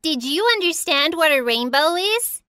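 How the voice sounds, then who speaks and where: A woman's voice: high-pitched, lively intonation, moderate pace, noisy background. A woman voicing a sensible, kindly young girl explaining things in a children's cartoon. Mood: gentle, explaining.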